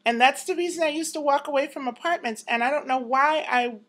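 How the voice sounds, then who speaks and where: Woman in her fifties, slightly high voice, chatty reflective vlog, home room, close camera mic. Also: fairly quick, fairly bright tone. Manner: chatty, reflective, laughs often. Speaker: vlogger. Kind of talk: casual personal vlog. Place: apartment room indoors.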